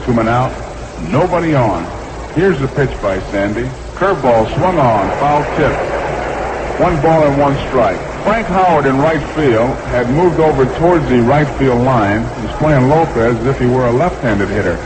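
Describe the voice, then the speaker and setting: A man's voice: medium pitch, animated, moderate pace. Very noisy vintage radio baseball announcer, American man. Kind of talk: live radio baseball commentary. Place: outdoor ballpark. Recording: very noisy 1960s radio broadcast recording.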